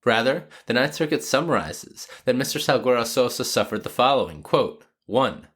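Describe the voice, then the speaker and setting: A man's voice: medium pitch, expressive, normal speed, a bit bright, slightly rough. A man with a slightly nasal voice from a cold, hosting a legal podcast in scripted narration with casual asides. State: has a cold. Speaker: podcast host; lawyer.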